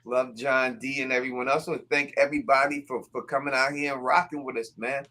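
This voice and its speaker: Host: man, bright tone, upbeat shout-outs, voice a little raspy. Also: mid-range voice, little pitch movement, fairly quick. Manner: upbeat, shout-outs to viewers, repeats words. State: voice getting raspy. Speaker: live stream host. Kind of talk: casual live stream chat.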